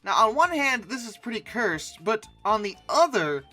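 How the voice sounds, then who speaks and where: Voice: mid-range voice, big pitch swings, normal speed, bright, forceful tone, soft background music. A comedic online host giving sarcastic reaction commentary with theatrical mock outrage. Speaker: YouTube host reacting to images.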